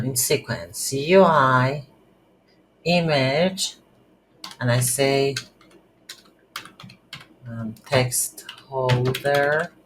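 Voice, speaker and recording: A tutorial instructor thinking aloud in a halting, unscripted way while demonstrating game development on a computer. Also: medium pitch, a bit bright. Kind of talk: unscripted screen-recorded software tutorial. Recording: background hiss, keyboard typing noise.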